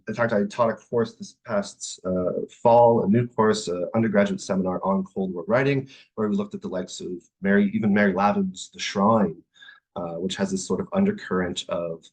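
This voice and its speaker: A man's voice: lowish voice, slightly rough, low-quality recording. A man giving an academic presentation in a discursive, hesitant, thinking-aloud way. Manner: hesitant, discursive, hedging phrasing. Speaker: academic speaker, literary scholar. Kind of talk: conference presentation, semi-improvised talk.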